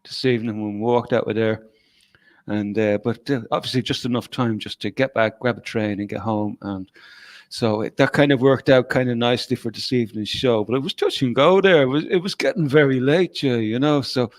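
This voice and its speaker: A man's voice: mid-range voice, brisk, no room sound. A tired man co-hosting a live-stream show. He talks in a rambling way, full of "like" and "you know". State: tired, worn out. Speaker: co-host. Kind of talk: casual live-stream chat.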